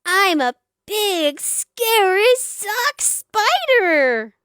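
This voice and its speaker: A woman's voice: very high-pitched, big pitch swings, very slow, fairly bright tone, dry recording, studio quality, voice pushed up, heavily acted. A woman voicing a young boy cat, eager to scare and easily discouraged, with dramatic exclamations and sighs, in a storybook reading. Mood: proud.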